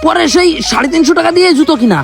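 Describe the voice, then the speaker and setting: A man's voice: very high-pitched, expressive, rapid-fire, creaky, soft background music, full character voice. A man playing a touchy, thrifty young man in a comedy skit, fussy and aggrieved. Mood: defensive. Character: touchy, thrifty young man fussing over his new shoes.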